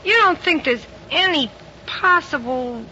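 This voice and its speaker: A woman's voice: sits high, expressive, measured pace. An actress playing a gangster's devoted, uneasy lover who is in on his schemes, in 1940s radio crime drama style. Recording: muffled, hissy old 1940s radio recording.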